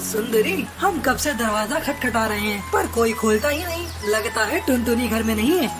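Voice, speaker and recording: A woman's voice: on the high side, animated, normal speed, lightly acted. A woman voicing a selfish, bossy husband crow in a children's cartoon. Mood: dismissive, bossy. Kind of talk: Hindi children's cartoon dubbing. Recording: loud music under the voice.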